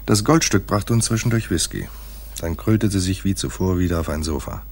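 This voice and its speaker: A man's voice: sits low, expressive, a little fast. An actor playing a laconic, shrewd private detective in a radio play, dry and slangy, telling his case like noir. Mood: dry narration. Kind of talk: 1980s German radio play, noir dialogue and narration.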